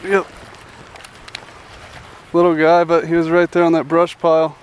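A young man hosting a casual fishing vlog outdoors at a rural pond, chatty and excited, calling everyone "dude" and "guys", recorded on a head-mounted action camera. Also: fairly high pitch, measured pace, slight background noise. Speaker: fishing channel host.

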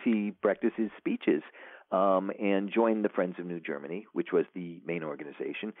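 Low-voiced man on a tinny phone line. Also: no room sound. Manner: rambling, many fillers and restarts. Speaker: author, interview guest. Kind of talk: casual interview talk.

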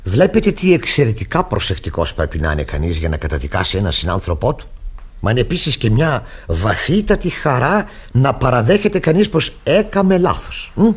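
An actor playing a pompous, naive middle-aged pastor in a radio play, effusive and easily flustered. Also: male voice, medium pitch, very animated, breathy edge. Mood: self-satisfied. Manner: effusive, sermonizing, stammering when flustered. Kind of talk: radio theatre acting.

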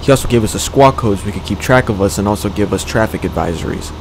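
Fast-talking low-voiced young man narrating. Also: noisy background. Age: young adult. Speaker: student pilot narrating flight video. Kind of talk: explanatory voice-over narration. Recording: voice over background music.

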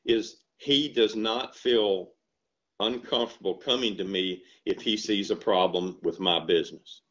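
Earnest older farmer on a headset. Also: male voice, lowish voice, measured pace. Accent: Southern US. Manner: animated storytelling, earnest and folksy. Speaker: dairy farmer and ice cream shop owner. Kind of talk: casual interview over video call. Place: home room with bookshelves. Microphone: headset on video call. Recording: slightly dull lo-fi call audio.

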